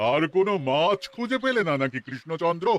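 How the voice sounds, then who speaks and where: A man's voice: sits high, big pitch swings, unhurried, a bit bright, slight background noise, full character voice. An actor playing a smug rival king in a cartoon, deferential to his overlord. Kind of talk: cartoon voice acting. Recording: music behind the voice.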